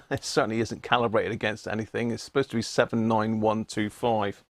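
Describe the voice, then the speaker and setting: A man's voice: fairly low pitch, little pitch movement, brisk, fairly bright tone, dry recording, studio quality. A man hosting a casual hands-on electronics livestream, muttering his way through each step as he works. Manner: muttering step by step, thinking aloud. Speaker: livestream host demonstrating radio repair. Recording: clear background noise with clicks.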